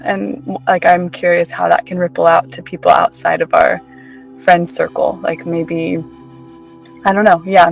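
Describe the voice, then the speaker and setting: A woman's voice: on the low side, creaky, poor audio. A woman, a helping professional, musing tentatively and thinking aloud in a casual panel chat. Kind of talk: casual conversation.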